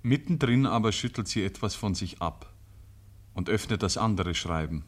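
A man's voice: on the low side, animated, normal speed. A man with a Bavarian accent narrating a rural tale in a literary radio play. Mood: measured, grave. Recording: 1950s radio recording.

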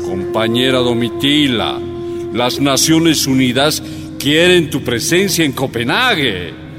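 An actor playing a commanding, urgent union boss in a radio drama. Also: male voice, mid-range voice, expressive, slow. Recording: long hall reverb, faint music.

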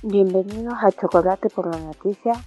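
A woman's voice: mid-range voice, normal speed, muffled recording, over music. A woman presenting a radio programme and narrating its stories.

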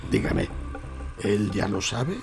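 An actor playing a poor, nosy old farmer in a Spanish radio drama, with a hesitant, stammering manner and a Spain accent. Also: male voice, fairly low pitch, lively intonation, slow pace, gravelly, over music, exaggerated voice. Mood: prying, knowing. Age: older. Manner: hesitant, stammering, repeating words.

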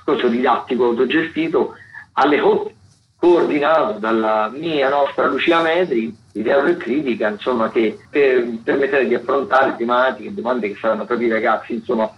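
A muffled radio recording with faint noise carries a man presenting arts listings. His voice sits at a medium pitch. He speaks semi-improvised, pausing to find words and often repeating a phrase before going on. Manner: hesitant, searching for words with repetitions. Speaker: radio host presenting a theatre events roundup. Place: radio studio.

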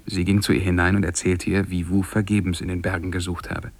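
An actor playing a lovestruck young scholar who tells his own story in measured, lyrical German radio-play style. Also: deep voice, level tone, gravelly. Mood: calm, measured.

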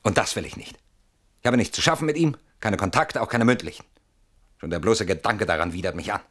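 A brooding, besieged husband in a radio play, very low and husky in voice. His speech swings widely in pitch as he frets and argues, stubborn and suspicious of everything. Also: very animated, a little fast, a bit bright. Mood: stubborn, irritated. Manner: agitated, brooding, argumentative. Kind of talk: German radio play acting.

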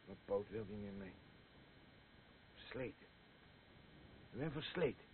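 An actor playing a boastful, crude and rambling drunken old war veteran in a radio play, with a husky voice. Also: male voice, lowish voice, expressive, slight background noise. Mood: musing, weary. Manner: slurring, rambling, halting, blustering. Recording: muffled lo-fi radio play recording.

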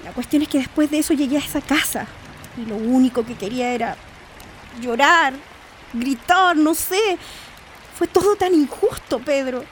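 A woman's voice: sits high, moderate pace, close to an everyday voice. A woman playing a hurt, reproachful woman confronting her old love in a radio drama, earnest. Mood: hurt, tender. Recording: faint noise, rain ambience. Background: rain falling.

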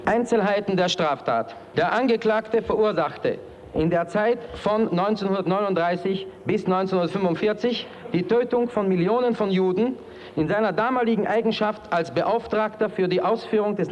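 Actor as high-voiced official reading charges. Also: male voice, highs cut off, slight background noise, lightly acted. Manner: official, deliberate, with hesitations. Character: official formally reading an indictment to the accused. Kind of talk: formal reading of legal charges.

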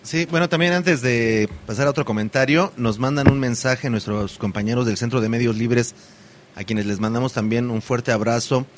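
Brief: male radio host, mid-pitched, halting, filler-heavy delivery, faint background hiss. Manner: hesitant, many fillers and restarts. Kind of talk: community radio talk.

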